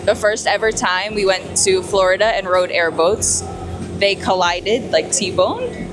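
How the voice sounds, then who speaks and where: A woman's voice: mid-range voice, measured pace. Young us woman pageant vlogger, giggly casual chat, music going behind her. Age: 20s. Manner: chatty, giggly. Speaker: pageant contestant and vlog host.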